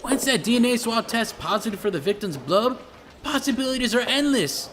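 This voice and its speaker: A man playing an eager, excitable police detective in an audio drama. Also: extremely high pitch, brisk, a little hiss or hum. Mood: excited, insistent.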